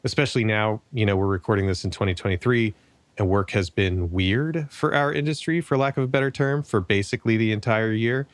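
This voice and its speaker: Low-voiced male podcast host, dry sound. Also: brisk, dry recording. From United States. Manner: relaxed, conversational, a few restarts. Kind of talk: casual interview.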